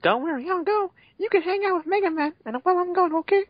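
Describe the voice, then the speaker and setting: A man voicing a chirpy, friendly cartoon girl program in an exaggerated squeaky falsetto. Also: lively intonation, fast, dry recording, lo-fi sound. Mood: breezy.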